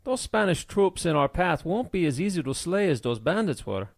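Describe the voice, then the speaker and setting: Man voicing a wary, grumbling Scotsman, animated. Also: on the high side, normal speed, creaky, no echo, studio quality, voice pushed up, heavily acted. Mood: wary, insistent. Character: cautious, warning Scottish adventurer. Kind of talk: audiobook character voice.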